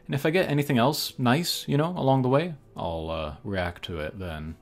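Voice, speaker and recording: A man's voice: medium pitch, expressive, normal speed. A man hosting a gaming channel, giving casual, hesitant commentary as he thinks aloud over mobile game pulls. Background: game music.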